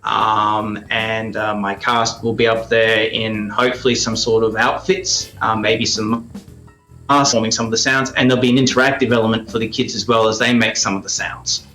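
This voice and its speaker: A man's voice: fairly low pitch, flat delivery, normal speed, sharp tone, soft background music. Australian man talking in a roomy space. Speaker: radio play producer and performer, interview guest. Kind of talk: conversational interview answers.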